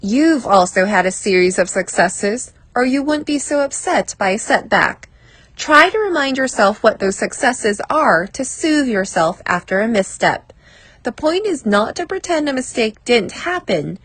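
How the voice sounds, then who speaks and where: A woman reading a personal advice essay aloud as scripted narration, in a measured, deliberate way. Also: a little high, sing-song, fairly bright tone, dry recording.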